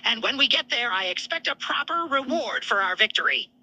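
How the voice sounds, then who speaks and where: A small, smitten alien commander acted out by a woman, smug and eager, with a very sharp, forceful tone. The pitch jumps high and swings about, with a slightly rough edge, as in a cartoon fan story. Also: animated, fairly quick, heavily acted, rough recording. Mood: smug, determined. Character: smirking, smitten little one-eyed male alien commander.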